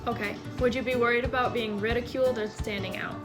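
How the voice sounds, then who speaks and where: Bright host woman over loud music. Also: a little high, steady tone, fast talker. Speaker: mock talk-show host and student interviewer. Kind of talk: casual interview.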